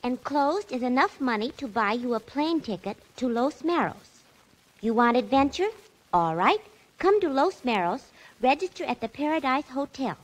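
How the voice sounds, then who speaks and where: High-voiced naive ditzy secretary. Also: female voice, very animated, moderate pace, poor audio. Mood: reading aloud. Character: naive ditzy female secretary, earnest, misusing big words. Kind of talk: 1940s radio acting.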